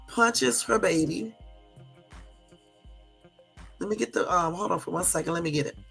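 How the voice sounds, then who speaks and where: A woman hosting a casual livestream, hoarse from a cold, chatty and often checking in with her listeners. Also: mid-range voice, a bit bright, low-quality recording. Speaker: livestream host.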